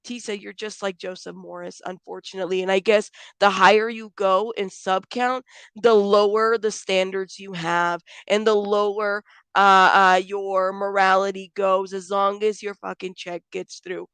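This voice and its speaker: Woman streamer, stuffed up, slight hoarseness, smooth voice, dry close sound, frank, talks to chat. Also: mid-range voice, level tone, moderate pace, no room sound. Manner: blunt, frank, calls people out, swears; chatty, greets viewers by name, many fillers. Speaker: YouTube commentary host. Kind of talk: live-stream commentary reading chat.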